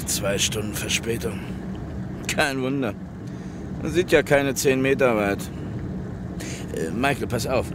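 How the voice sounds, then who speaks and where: An actor playing a gruff, talkative long-haul trucker in a vintage radio crime play. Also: male voice, mid-range voice, measured pace, long pauses, very noisy, close to an everyday voice. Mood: grumbling. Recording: old radio play production.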